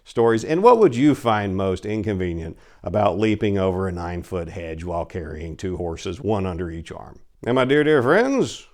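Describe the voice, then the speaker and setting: Low-voiced man, casual story host. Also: very animated, moderate pace, hardly any pauses, a little mellow. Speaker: host and reader of a story channel. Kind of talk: casual, enthusiastic commentary to listeners.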